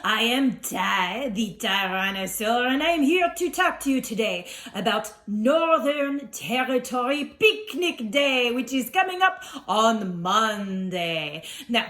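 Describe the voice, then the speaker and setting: A woman's voice: fairly high pitch, big pitch swings, slow pace, few pauses, fairly bright tone, pitched below the performer's own voice, heavily acted. A woman voicing a jolly, self-assured male French Tyrannosaurus who presents to children with theatrical flair and a French accent. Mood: cheerful explaining. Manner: theatrical, cheerfully boastful, explaining to an audience. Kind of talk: playful holiday presentation for children. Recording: roomy, echoing sound.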